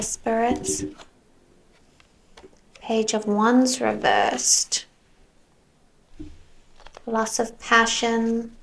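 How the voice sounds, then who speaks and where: A woman giving a tarot reading, musing softly as she goes and sometimes slipping into a whisper, her voice worn as though she is losing it. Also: fairly high pitch, vocal fry, a little hiss or hum, low-quality recording. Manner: slow, soft, musing, drifts into whispers. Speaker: tarot reader.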